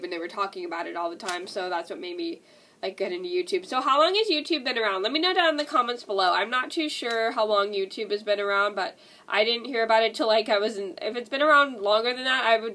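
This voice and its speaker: A woman's voice: mid-range voice, pushed and bright. A young woman vlogging with a stuffy nose from a cold, rambling and repeating herself with lots of "like" and "and stuff". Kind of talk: casual talk to camera.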